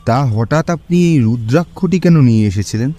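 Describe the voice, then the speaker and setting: A man as Kishori, curious friend, mellow-voiced. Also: mid-range voice, lively intonation, moderate pace, soft background music, lightly acted. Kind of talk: horror audio drama dialogue.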